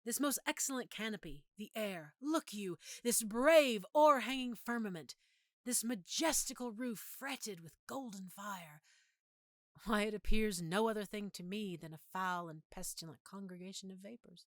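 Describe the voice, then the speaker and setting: A woman playing a disillusioned, philosophical young prince in a measured, theatrical Shakespearean monologue. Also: mid-range voice, no echo, clean studio sound, lightly acted. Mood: brooding, melancholy. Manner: theatrical, measured, emphatic pauses.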